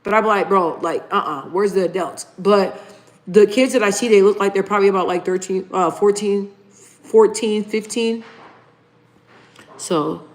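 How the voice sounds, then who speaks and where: A woman's voice: mid-range voice, measured pace. Chatty woman in her thirties livestreaming. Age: 30s. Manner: chatty and informal, addresses viewers as "you guys". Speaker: livestream host. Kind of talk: casual livestream chat, reading viewer comments.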